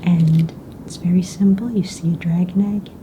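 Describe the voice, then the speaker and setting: A woman playing a calm, businesslike young fantasy egg hunt official in a breathy, soft-spoken ASMR roleplay, casual and matter-of-fact. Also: on the low side, moderate pace, background noise, natural voice. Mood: calm, polite. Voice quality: breathy, whispery.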